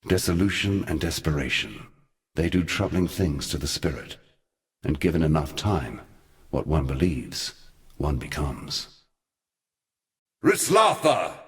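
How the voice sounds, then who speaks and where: A man playing an ancient, sardonic god in a video game, telling dark lore in a measured, theatrical and eloquent way with a weighty voice. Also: sing-song, full character voice. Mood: commanding. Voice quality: very deep, weighty voice. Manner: measured, theatrical, wry storytelling. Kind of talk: scripted video game character monologue.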